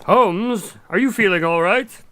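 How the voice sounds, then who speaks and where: An actor playing a sensible, wry doctor and loyal friend in a radio drama, conversational and teasing. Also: male voice, sits high, unhurried, pushed and bright, clear, resonant tone, no room sound. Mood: concerned, wry.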